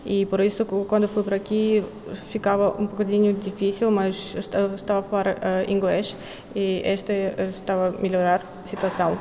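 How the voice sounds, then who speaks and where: A woman's voice: mid-range voice, completely flat delivery, normal speed, dark tone. A young woman from Kazakhstan, a master's student, answering interview questions hesitantly in a language she is still learning, with many fillers and restarts. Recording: echoey hall with faint noise.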